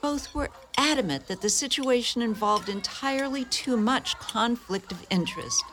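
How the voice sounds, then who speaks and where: A woman playing an eager, apologetic nun in a community radio play, earnest and expressive. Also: fairly high pitch, normal speed, background noise, natural voice.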